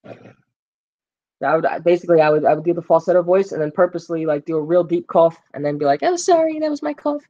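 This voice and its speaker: A man's voice: a little high, little pitch movement, fairly quick, mellow, dull audio. A man hosting a casual gaming live stream, rambling with plenty of fillers and joking with his viewers. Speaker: gaming livestream host.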